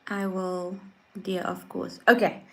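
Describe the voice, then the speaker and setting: A South African woman in her thirties, a lifestyle vlogger chatting casually on a livestream, soft-spoken with frequent ums and restarts. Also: lowish voice, level tone, slow pace. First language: Afrikaans.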